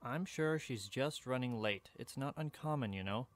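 A man's voice: mid-range voice, normal speed, lightly acted. A young man playing a sceptical, exasperated university student in a fan audio drama, dismissive and weary. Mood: placating.

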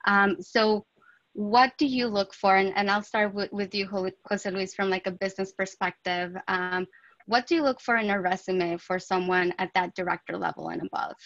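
A woman's voice: medium pitch, steady tone, moderate pace, a bit bright. A woman moderating a webinar panel, upbeat and introducing the questions. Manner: upbeat, says "awesome" often. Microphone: video call. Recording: muffled video call audio.

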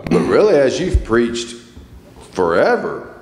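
A man's voice: medium pitch, huge pitch swings, unhurried, darkish tone. A man hosting a podcast, agreeably summing up his guest's points. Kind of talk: podcast conversation. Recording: echoey room with long reverb and faint noise.

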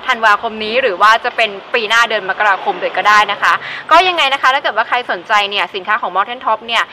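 A young woman presents to camera at a quick clip. Her voice is bright and somewhat high, and she is chatty and promotional. There is faint outdoor noise behind her. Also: poor audio. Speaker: presenter of product review. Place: outdoors in a driveway. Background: faint outdoor ambience.

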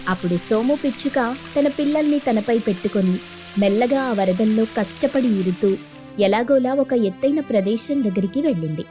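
A woman's voice: a little high, animated, moderate pace, mellow, faint music behind. A woman storyteller reading scripted narration for a children's cartoon tale.

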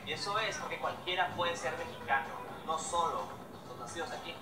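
Proud young man narrating over loud music. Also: a little high, a little fast, roomy sound, recorded from a distance. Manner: proud, declamatory. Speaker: narrator of a patriotic video.

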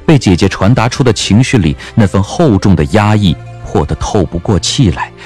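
A man narrating a scripted audio drama as an expressive storyteller. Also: on the low side, normal speed, soft background music.

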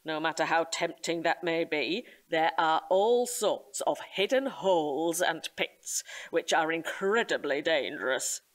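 An earnest reserve guide in an audio comedy drama. Her voice is lowish and slow, with solemn, formal emphasis on each instruction. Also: lively intonation. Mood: earnest, cautioning.

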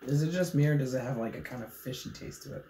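A man's voice: mid-range voice, fast, warm tone. Young guy recovering from a cold, chill and laughy, roomy echo w/ a little hiss. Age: young adult. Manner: easygoing, laughs often. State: getting over a cold. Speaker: channel host. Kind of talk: casual snack taste-test chat. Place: small indoor room at a table. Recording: echoey room, faint noise.

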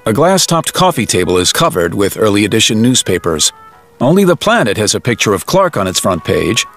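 A man's voice: on the low side, very animated, brisk, fairly bright tone, soft background music. A man delivering scripted dramatic narration for an audio drama.

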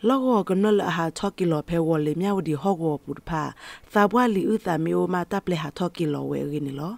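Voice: medium pitch, dark tone, dry recording. A radio presenter reading scripted news.

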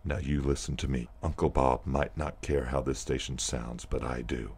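An actor playing a stern, exasperated middle-aged radio program director in an audio drama, clipped and authoritative. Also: male voice, very deep voice, fairly even intonation, moderate pace, creaky, darkish tone, husky, full character voice.

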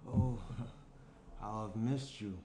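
A male actor plays a self-absorbed young businessman in a relationship drama. His voice sits low, casual and a little grumbly. It is recorded in a roomy space with noticeable noise. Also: fairly even intonation, drawn-out words, warm tone. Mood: distracted. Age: young adult. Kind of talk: screenplay table read. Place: small room at a table. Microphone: shared tabletop microphone. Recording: roomy indoor sound, clear background noise.

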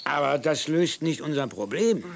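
A man's voice: a little high, expressive, moderate pace, vocal fry. A man playing a good-natured, worried circus director in a children's audio drama, hesitant, coaxing and kindly.

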